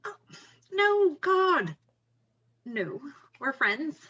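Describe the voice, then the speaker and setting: Woman acting bright, persuasive best friend, slightly lo-fi. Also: high-pitched, big pitch swings, very slow, heavily acted. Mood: amused, dismissive. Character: Riley, upbeat, pushy, encouraging young woman, best friend. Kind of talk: table read of a screenplay.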